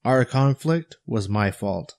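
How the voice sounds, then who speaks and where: A man voicing a sober, battle-worn bodyguard and mentor in an audio story, measured and earnest. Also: mid-range voice, expressive, darkish tone, no room sound, clean studio sound, pitched above the performer's own voice. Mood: remorseful.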